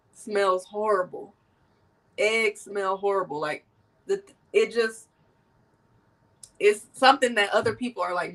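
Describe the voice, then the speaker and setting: A woman's voice: mid-range voice, creaky, recorded from a distance, rough recording. Woman host, a bit nasal from a cold. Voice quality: animated and laughing often. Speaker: podcast host. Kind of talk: casual conversation.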